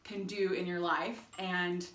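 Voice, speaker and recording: A woman's voice: fairly low pitch, level tone, slow, fairly bright tone, echoey room. A woman in her forties from Texas, chatting casually to camera in her home kitchen with frequent ums and easy laughs. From Texas, United States. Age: 40s. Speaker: alumna sharing her story. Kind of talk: casual home video chat. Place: home kitchen in an old English house. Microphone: camera held by her husband.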